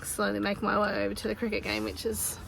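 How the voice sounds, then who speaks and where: A woman, chatting casually to her phone camera in a family vlog, her voice a little croaky. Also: mid-range voice, completely flat delivery, fast talker, a bit bright. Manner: chatty, laughs between sentences. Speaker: family vlogger. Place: outdoors by a busy road. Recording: clear traffic noise outdoors.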